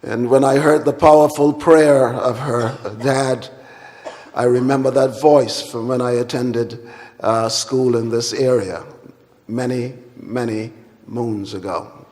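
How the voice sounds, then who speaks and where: Slow, formal man in a hall. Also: medium pitch, steady tone, a little mellow. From Guyana. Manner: measured, deliberate and formal, with long pauses. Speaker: conference speaker. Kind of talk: formal plenary address. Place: large conference hall. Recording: hall reverb.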